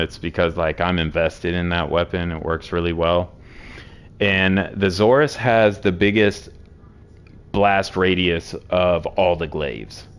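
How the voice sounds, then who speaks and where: A hoarse man with a sore throat casually walking through game builds, rambling with many fillers. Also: deep voice. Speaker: game streamer explaining builds.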